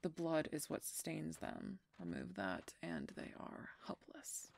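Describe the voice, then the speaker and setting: A woman playing a gruff, protective female werewolf knight in a roleplay audio drama, teasing and stern as she speaks to the listener. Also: sits low, wildly swooping pitch, measured pace, darkish tone, in a whisper, heavily acted. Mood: instructive, wary. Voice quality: husky, gravelly.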